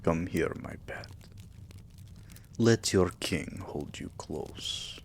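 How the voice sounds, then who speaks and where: Casting: male actor as possessive, tender vampire king for ASMR roleplay; very low, gravelly murmur, soothing and dominant. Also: lively intonation, slight background noise, exaggerated voice. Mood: tender, protective. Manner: soothing, intimate, slow and murmuring, often whispering.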